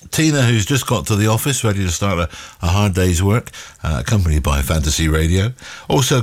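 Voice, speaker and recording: Morning radio host, male, lower register with a bouncy, animated delivery, chatty with listeners. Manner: warm banter with listeners, chuckling asides.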